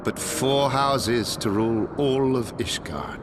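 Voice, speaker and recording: An actor playing a resolute noble knight in a fantasy game, stern and dignified, declaiming deliberately in archaic language. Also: male voice, medium pitch, background noise, natural voice. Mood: grimly resolute. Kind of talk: dramatic fantasy game dialogue, archaic speech. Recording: music behind the voice.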